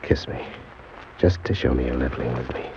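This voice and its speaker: A man's voice: extremely low pitch, a little fast, noisy background. An actor playing a cynical, slangy hardboiled criminal who tells his own crime story in an old-time radio drama. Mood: cold, calculating. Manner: tough, wry, slangy. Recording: dull old radio recording, music behind.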